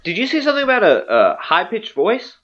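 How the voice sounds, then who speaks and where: A woman's voice: mid-range voice, very animated, fairly quick, a bit bright, lo-fi sound, voice pushed up. A woman voicing a puzzled, mild male bartender who answers in a flustered, evasive way.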